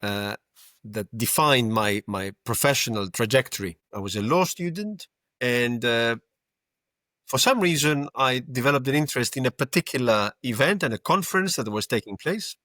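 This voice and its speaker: Greek guy in his 50s, podcast guest, talks it through slow and thoughtful, dead dry room. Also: medium pitch, expressive, pushed and bright, dry recording. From Greece. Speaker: maritime lawyer, guest. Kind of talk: conversational podcast interview.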